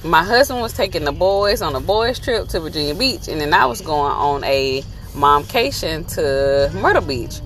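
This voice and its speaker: A woman's voice: sits low, lively intonation, moderate pace, few pauses, a bit bright. A woman in her thirties chatting casually for a travel vlog from inside a moving car, laughing often. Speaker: vlogger.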